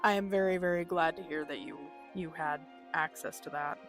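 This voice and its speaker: Low-voiced woman, creaky, chatting on stream. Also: fairly even intonation, normal speed. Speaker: game streamer. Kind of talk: casual live-stream chat over gameplay. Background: video game music.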